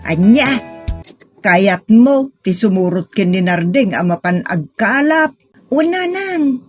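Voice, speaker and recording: A woman's voice: medium pitch, expressive, takes it slowly, music in the background, voice pushed down. A woman playing a doubtful, cautioning mother in a radio drama, admonishing with a Filipino accent.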